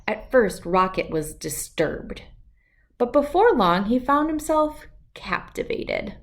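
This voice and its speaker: A woman narrates a children's storybook. Her delivery is slow and deliberate. The pitch is animated and varied, giving the scripted lines a dramatic, expressive shape. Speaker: storybook reader.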